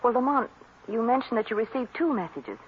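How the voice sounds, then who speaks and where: A woman's voice: fairly high pitch, animated, brisk, close to an everyday voice. An actress playing an attentive, concerned young woman, a sleuth's companion, in a 1940s-style radio drama with polished, inquisitive delivery. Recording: noisy, dull old radio recording.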